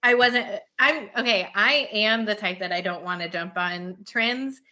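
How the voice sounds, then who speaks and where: A woman with a bright, crisp-edged voice at a middle pitch hosts a casual podcast. She talks informally and with enthusiasm, as if chatting about books with a friend. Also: measured pace. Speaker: podcast host. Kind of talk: casual book chat.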